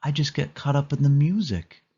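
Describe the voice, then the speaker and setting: Man voicing a dreamy, husky young ballerina girl. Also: a little high, moderate pace, mellow, lo-fi sound, pitched above the performer's own voice. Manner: dreamy, enthusiastic.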